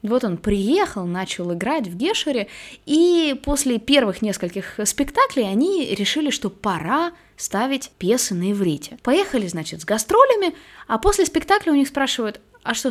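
A woman hosting a podcast, asking her guests articulate, measured questions. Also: a little high. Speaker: podcast host. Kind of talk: interview questions.

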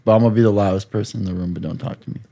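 A young man hosting a podcast, hoarse from screaming, talking in a rambling, profane, jokey way. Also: fairly low pitch, fairly even intonation, racing, mellow, no room sound. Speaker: podcast host. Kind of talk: casual chat.

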